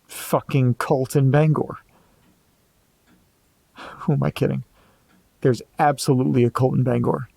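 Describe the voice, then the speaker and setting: A man playing an anxious amateur investigator in a horror audio drama, muttering hesitantly to himself in a gravelly voice. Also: medium pitch, mellow, dry recording. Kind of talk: audio drama monologue, reading and musing aloud.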